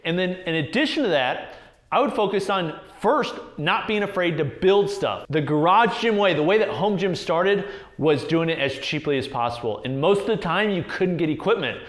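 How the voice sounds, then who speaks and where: American male, 30s, fitness video host. Fairly high voice, emphatic informal delivery, roomy echo. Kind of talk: informal explainer to camera. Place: indoor garage gym.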